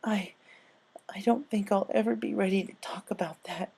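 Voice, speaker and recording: A man voicing a traumatized young female pegasus pony in a fan story, halting, tearful and broken. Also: high-pitched, steady tone, creaky, warm tone, husky, pitched above the performer's own voice, exaggerated voice. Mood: shaken, haunted. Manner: halting, sobbing, stammering delivery.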